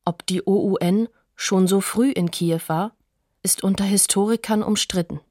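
A woman reading scripted radio documentary narration in a sober, factual, measured manner. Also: mid-range voice, dark tone, no room sound, clean studio sound.

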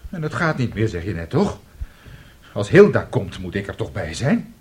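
A man's voice: fairly low pitch, big pitch swings, moderate pace, a little mellow. Radio drama: ageing artist husband and father, middle-aged, self-pitying, plaintive, wistful dreamer. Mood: pleading. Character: aggrieved, dreamy, ageing failed composer and father.

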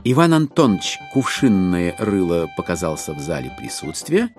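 An actor playing a wry narrator, giving expressive literary narration in an audio drama. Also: male voice, sits low, moderate pace. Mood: wry storytelling. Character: wry male narrator of a classic satirical novel. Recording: music behind the voice.

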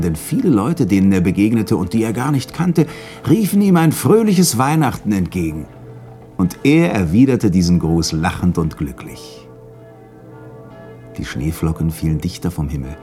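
An actor as the narrator of a Christmas tale in a radio play, telling it in a measured way. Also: male voice, sits low, dark tone. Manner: measured storytelling. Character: calm storyteller of a Christmas tale.

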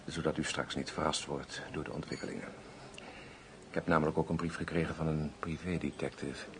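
A deep, gravelly prosecutor in a radio drama. He is coldly formal and scheming, insinuating more than he states, with a creaky edge to his vindictive words. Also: fairly even intonation, a little fast, background noise.

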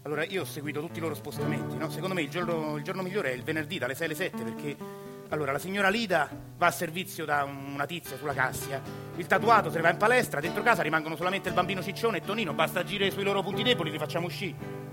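Brief: down-on-his-luck Roman dad, played by a male actor, quiet and urgent. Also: on the high side, very fast, music in the background, pitched below the performer's own voice, natural voice. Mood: conspiratorial. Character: desperate scheming Roman father.

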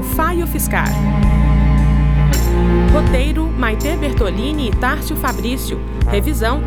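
A Brazilian woman reading scripted credits as a radio announcer. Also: sits high.

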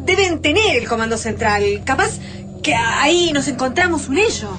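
Female resistance survivor, Argentine accent, high pitch, determined, radio drama, clear background noise. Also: animated, normal speed.

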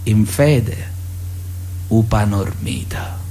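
An actor playing a pious, paternalistic Sicilian mafia elder, solemnly sermonizing with veiled menace in a radio drama letter. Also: male voice, on the low side, lively intonation, mellow, slight background noise, heavily acted. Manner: solemn, sermonizing, measured insistence. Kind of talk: theatrical radio drama monologue, letter read aloud.

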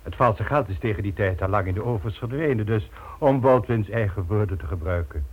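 An actor playing a cool, calculating crime boss in a radio drama, measured and smugly explanatory. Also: male voice, fairly low pitch, expressive, slightly rough. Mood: calculating, assured. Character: cool, calculating male crime boss, methodical and self-assured. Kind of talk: Dutch radio drama acting. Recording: dull old radio recording.

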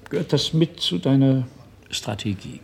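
An actor in a radio drama playing a bitter, sardonic drinker who needles with false solicitude. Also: male voice, mid-range voice, lively intonation, moderate pace, gravelly. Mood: needling.

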